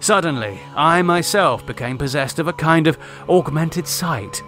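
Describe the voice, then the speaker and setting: A man reading a scripted horror story as an audiobook narrator, in a theatrical, deliberate manner. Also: fairly high pitch, animated, fairly bright tone, faint music behind.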